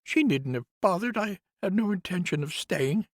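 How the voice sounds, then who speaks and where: A man's voice: sits high, animated, normal speed, no echo, studio quality, voice pushed up. A man voicing a grieving woman in her fifties, an old family nurse, in a tearful, wavering voice for an audiobook. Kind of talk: audiobook character voice.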